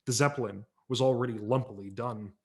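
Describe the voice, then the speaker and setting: A man, a professional audiobook narrator, giving a wry and expressive dramatic reading of a memoir story at a virtual library event, with small character voices. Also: medium pitch, brisk.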